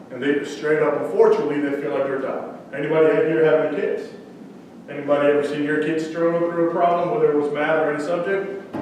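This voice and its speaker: A man from central Mexico whose first language is Spanish, giving a lively startup pitch and quizzing the audience. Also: recorded from a distance, slight background noise. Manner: conversational, quizzes the audience with questions. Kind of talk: energetic startup pitch talk. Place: event room with audience. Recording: echoey room, speaker away from mic.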